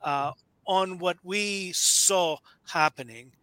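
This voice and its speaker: A man's voice: sits high, takes it slowly, bright, forceful tone, clean tone, no room sound. A man in his fifties from Nicaragua, talking as a guest author in a casual interview, hesitant with many fillers and restarts.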